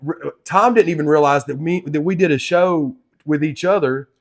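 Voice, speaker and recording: A man's voice: a little high, moderate pace. Chatty male interview host. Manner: chatty, enthusiastic, loose fillers like "man". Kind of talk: casual interview hosting.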